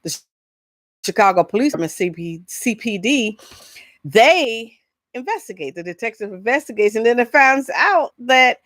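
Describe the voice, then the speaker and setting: A woman hosting a casual entertainment vlog, talking in a rambling, halting, informal way. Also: on the high side, lively intonation, fairly bright tone, dry recording. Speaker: vlog host. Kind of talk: casual chat, entertainment gossip.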